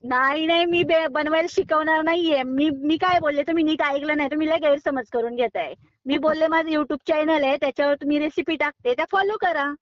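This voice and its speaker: A high-voiced woman argues on a phone call. She is firm and exasperated in an unscripted exchange. The audio is close and dry, slightly dull and lo-fi. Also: a little fast, dry recording. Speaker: online recipe video creator receiving a prank call.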